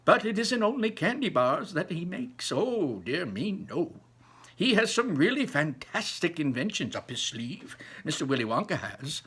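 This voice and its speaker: A man voicing an excitable old grandfather, a storyteller full of wonder who savors his words with emphasis. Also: on the high side, slightly rough, voice pushed up, heavily acted. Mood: excited wonder. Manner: emphatic, savoring slow delivery.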